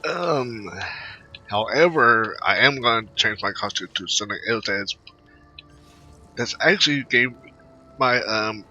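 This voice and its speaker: Halting man, bright forceful voice, muffled mic. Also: on the low side. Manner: halting, rambling. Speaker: gameplay video host. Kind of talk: casual game commentary. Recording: muffled home mic with faint noise.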